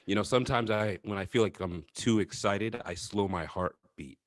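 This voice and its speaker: Male co-host, lower voice, playful and quick to laugh, dry recording. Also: no room sound. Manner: jokey, laughs often. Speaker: podcast co-host. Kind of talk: casual chat.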